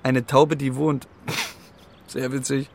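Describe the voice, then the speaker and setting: An actor playing a cheeky, streetwise pigeon in a children's radio play, with a gravelly voice and a sardonic, colloquial swagger. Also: male voice, medium pitch, level tone, slow. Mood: mocking.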